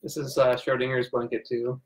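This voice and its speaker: A man's voice: mid-range voice, little pitch movement, a little fast, noisy background. Guy in his 30s just chatting at home, amused sidekick vibe, bit teasing. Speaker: host's brother, sidekick. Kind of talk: casual chat. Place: room at home, sitting back from the camera.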